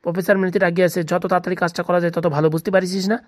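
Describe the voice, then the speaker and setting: Woman voicing a grumbling, fast-talking cartoon crook boss. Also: fairly low pitch, level tone, darkish tone, no echo, studio quality, natural voice. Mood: exasperated. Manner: grumbling, scolding, slangy. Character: irritable bossy male thief, scolding, superstitious. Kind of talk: comedy cartoon dubbing.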